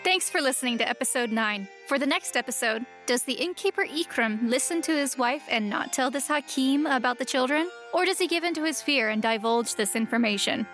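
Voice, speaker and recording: A woman's voice: high voice, expressive, brisk, hardly any pauses, fairly bright tone. A woman reading a scripted outro as the announcer of an audio series. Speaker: episode announcer. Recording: faint music behind.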